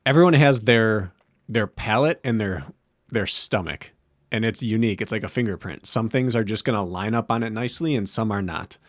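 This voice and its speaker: Wry, relaxed man on a dry mic. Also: fairly low pitch, a little fast, dry recording. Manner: relaxed, wry, searching for words. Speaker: podcast co-host. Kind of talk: casual chat.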